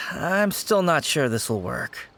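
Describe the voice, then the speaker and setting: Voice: mid-range voice, sing-song, slow pace, a bit bright, a little hiss or hum, heavily acted. An actor playing a doubtful, put-upon funeral parlor employee in a video game, hesitant and meekly protesting. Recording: music playing behind the voice.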